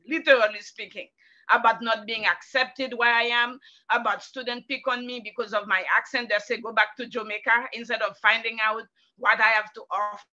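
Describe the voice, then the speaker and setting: A woman's voice: fairly high pitch, sharp tone, highs cut off. A middle-aged woman educator from Haiti with a Haitian French accent, giving a personal testimony. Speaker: educator, workshop participant.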